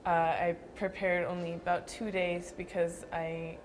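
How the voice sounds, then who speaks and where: A young woman dancer answering interview questions thoughtfully, with frequent pauses and restarts. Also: lowish voice, level tone, noisy background. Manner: thoughtful, hesitant with fillers and restarts.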